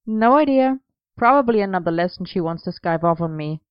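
An excitable, cheeky young man, as a woman narrator puts him on, raised in pitch and bursting with energy. His lines come out animated and exclamatory. The recording is dry and slightly muffled. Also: brisk, clean tone, no echo, lightly acted. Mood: excited.

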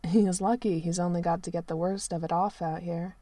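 A woman's voice: on the low side, fairly even intonation, a little fast, warm tone, dry recording, distant mic, voice pushed down, natural voice. A woman voicing a good-humored male knight, talking with a laugh in his voice. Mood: amused.

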